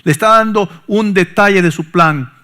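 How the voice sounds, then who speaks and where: A middle-aged pastor, preaching a sermon that swings from forceful emphasis to soft, low asides. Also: male voice, normal speed, clean tone. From Honduras. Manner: preaching with sudden soft drops and rising emphasis.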